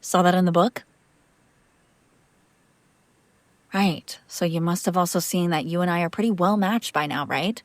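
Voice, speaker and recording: A woman's voice: fairly low pitch, brisk, no room sound, natural voice. Dry, close-sounding woman acting as a vampire master. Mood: wry, challenging. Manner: conversational, defensive, sardonic. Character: female vampire master, exasperated yet protective caretaker. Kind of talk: intimate audio roleplay acting, one side of a conversation.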